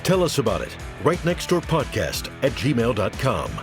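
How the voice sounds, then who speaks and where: A man's voice: mid-range voice, huge pitch swings, moderate pace. A man reading a scripted podcast outro as an announcer. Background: music.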